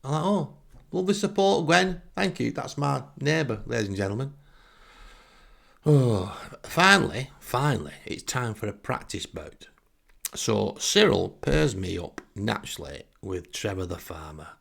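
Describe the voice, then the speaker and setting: A man's voice: medium pitch, expressive, unhurried, creaky, a bit bright. A man with a Northern English accent telling a humorous first-person anecdote in a wry, self-deprecating way. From Northern England. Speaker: comic storyteller.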